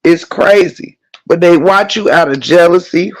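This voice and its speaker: A hoarse, slightly congested man hosting a livestream, reading the chat and ranting emphatically, with lots of swearing and repetition. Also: high-pitched, a little slow, rough recording. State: hoarse, losing voice. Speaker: livestream host.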